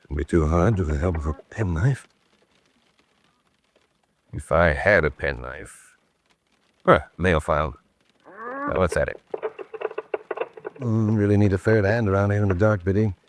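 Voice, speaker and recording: A man's voice: sits low, unhurried, pauses often. An actor playing a resourceful, wry man in a radio drama, muttering to himself as he works through a tight spot. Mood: wry, musing. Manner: muttering to himself, wry, clipped British turns of phrase. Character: resourceful trapped man muttering to his animal companion. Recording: faint background noise.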